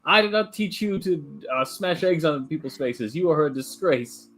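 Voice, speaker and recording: A man on a casual boxing talk panel, making quick, joking asides. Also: a little high, a bit bright.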